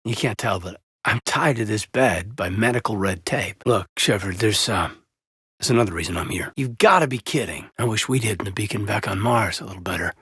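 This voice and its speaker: A man playing an earnest, loyal soldier officer in a space-war video game, with a husky, raspy voice and a sincere, measured manner. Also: fairly low pitch, a bit bright, no echo, studio quality. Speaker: video game squadmate character. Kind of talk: dramatic video game voice acting.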